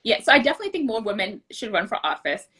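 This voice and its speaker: A woman's voice: mid-range voice, big pitch swings, rapid-fire, bright, forceful tone, slightly rough. A woman speaking as an interview guest, giving earnest, explanatory answers in a conversational style. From born outside the US. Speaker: interview guest, political candidate.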